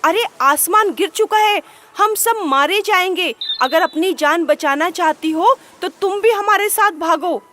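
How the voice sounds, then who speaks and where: A woman's voice: high-pitched, animated, slow pace, fairly bright tone, slight background noise, heavily acted. A woman voicing a panicky young male rabbit in a storybook, talking in frantic, urgent bursts.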